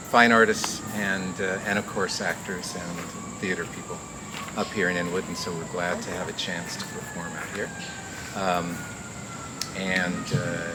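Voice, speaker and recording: A man hosting a live outdoor garden show, welcoming people and doing magician's patter in an informal, halting, rambling way. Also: deep voice. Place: outdoor community garden event. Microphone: live mic through a speaker. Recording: very noisy outdoor live recording.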